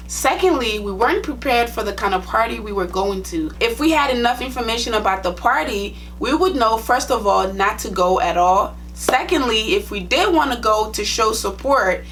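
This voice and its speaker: A woman's voice: fairly high pitch, fairly bright tone, slight background noise. Chatty young woman with a Liberian accent. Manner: chatty, direct, plain-spoken. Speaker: nursing vlogger. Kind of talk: casual storytime vlog.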